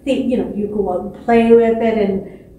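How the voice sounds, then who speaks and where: A woman in her sixties telling a children's story expressively, with dramatic pauses and repetition. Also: medium pitch, steady tone, warm tone. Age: 60s. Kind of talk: animated children's storytelling from memory. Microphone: distant camera microphone. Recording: echoey stage, distant mic, faint noise.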